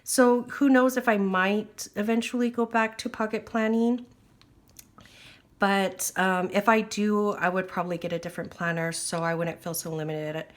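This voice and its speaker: Woman, slight wheeze from a lingering cough, chatty and filler-heavy, talking at a table indoors. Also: fairly low pitch. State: recovering from illness, slight wheeze. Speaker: planner vlogger. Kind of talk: casual chat.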